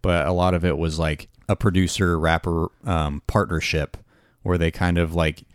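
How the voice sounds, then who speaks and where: A man's voice: sits low, little pitch movement, normal speed, dry recording, clean studio sound. A man chatting casually as a podcast co-host, hesitant and full of restarts, laughing easily. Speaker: podcast co-host reviewing an album. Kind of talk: casual chat.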